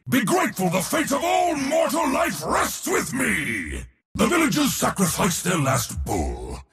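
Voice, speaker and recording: A boastful, arrogant god of war in theatrical video game style. The delivery is slow and grandiose, swooping dramatically from low growls to high battle cries, with pompous declamation throughout. It rings out in a long reverberant hall. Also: male voice, vocal fry, a bit bright, full character voice. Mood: battle-hungry, boastful, then haughty storytelling.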